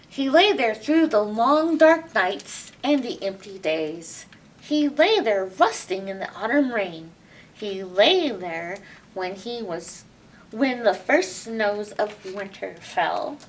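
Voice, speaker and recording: Slow, animated woman reading to children. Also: medium pitch. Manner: expressive, asks listeners questions. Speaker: library storytime reader. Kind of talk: read-aloud picture book storytelling for children, with asides. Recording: distant mic with background noise.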